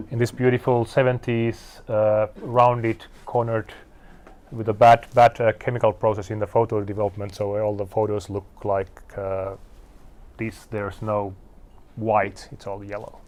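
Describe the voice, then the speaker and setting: Finnish man in his forties, halting. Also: on the low side, darkish tone. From Finland. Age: 40s. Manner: halting, self-deprecating, clears throat often. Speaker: designer presenting a talk. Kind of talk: casual talk with slides. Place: event venue in front of an audience.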